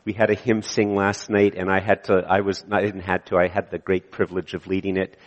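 Hoarse pastor in a reverberant church. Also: male voice, deep voice, little pitch movement, brisk, highs cut off. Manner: conversational, with many fillers and restarts. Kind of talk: sermon. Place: church sanctuary. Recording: church hall with long reverb.

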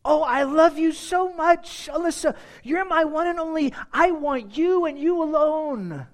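A man voicing a young husband who gushes love to his wife in a high, drawn-out, swooning way.

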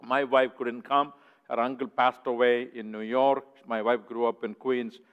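A man from southern India with a South Indian accent, preaching a sermon as a guest in a church in an earnest, halting, conversational way. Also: mid-range voice, level tone, clear, resonant tone. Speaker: guest preacher, ministry president. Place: church sanctuary. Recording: echoey church hall, dull lo-fi sound.

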